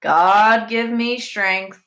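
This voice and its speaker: A woman's voice: medium pitch, drawn-out words, a bit bright. A woman voicing a stern but kindly primary school teacher who gives short, firm commands. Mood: patient, weary.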